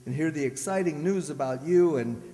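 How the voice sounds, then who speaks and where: A man acts out a blustery, grumbling husband in a radio comedy, his voice on the high side. He wisecracks in folksy slang, always a little exasperated. The recording has the long echo of a hall. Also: normal speed, mellow, lightly acted. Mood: grumpy, impatient. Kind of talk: old-time radio comedy acting. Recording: echoey hall stage recording.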